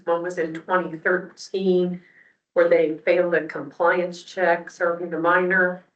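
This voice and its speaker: A woman speaks as a commission member, presenting cases and motions formally in a halting, procedural way at a public meeting. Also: fairly low pitch. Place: indoor public meeting room. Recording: distant mic, roomy echo, dull sound.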